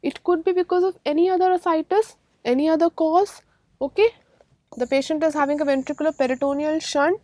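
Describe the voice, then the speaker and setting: A woman with an Indian accent teaches a medical class in a high-pitched voice. She is didactic and emphatic, restating key terms and putting short check questions to her students. The sound is dry, with very little room echo. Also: a little mellow. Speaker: radiology lecturer. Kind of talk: medical exam-prep lecture.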